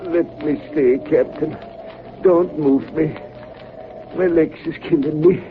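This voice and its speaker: An actor in a 1930s radio drama playing an exhausted, frostbitten seaman who pleads weakly. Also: on the high side. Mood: pained, pleading. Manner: weak, pleading, drawn-out. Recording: dull, faintly noisy 1938 radio recording.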